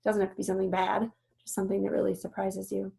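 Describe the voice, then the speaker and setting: A woman's voice: mid-range voice, completely flat delivery, fast, gravelly, dark tone. A woman teacher reading a storybook aloud and explaining it, instructive and often checking that listeners understand.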